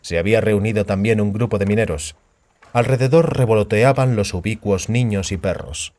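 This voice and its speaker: A man's voice: lowish voice, moderate pace, darkish tone. A man narrating a fantasy audiobook in a scripted, dramatic storytelling style. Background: music.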